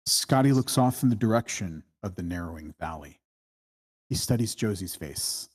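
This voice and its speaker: A man's voice: sits low, lively intonation, normal speed, breathy edge. A man reading out scene directions as narrator at a screenplay table read.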